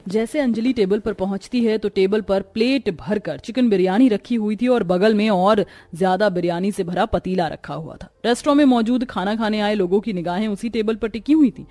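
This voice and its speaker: A woman's voice: medium pitch, dark tone, no room sound. A woman narrator reading a scripted cartoon story.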